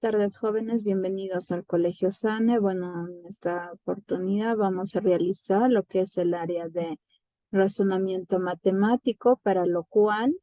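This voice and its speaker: A woman's voice: medium pitch, fairly even intonation, moderate pace, warm tone, no room sound. A woman from Peru teaching an online class, talking in a loose, casual way and tagging "¿no?" onto her sentences. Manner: casual, repeats "¿no?" often. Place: home, remote video class. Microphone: computer mic on video call.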